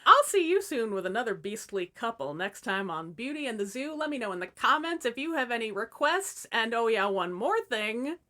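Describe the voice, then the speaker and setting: A woman's voice: on the high side, very animated, moderate pace, hardly any pauses, sharp tone. A woman hosting and narrating fairy tales as scripted comedy, with wry, irreverent asides. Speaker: host and storyteller.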